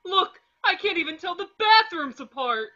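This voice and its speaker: Woman as a high, whiny, dim cartoon starfish. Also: lively intonation, normal speed, sharp tone, muffled recording, exaggerated voice. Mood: scared, whiny. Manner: whiny, simple-minded. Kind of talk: amateur table read of a cartoon script.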